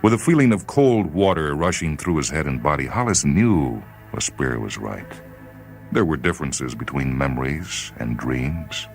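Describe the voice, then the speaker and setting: A man with an extremely deep voice reads fiction in a grave, dramatic style. His deliberate phrasing rises and falls expressively, with music playing behind him. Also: expressive. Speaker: short story reader on a radio series. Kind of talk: dramatic scripted literary narration.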